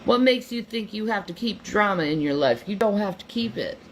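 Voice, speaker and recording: A woman's voice: mid-range voice, expressive, brisk, slight background noise. A woman giving an earnest motivational monologue, emphatic and repeating herself.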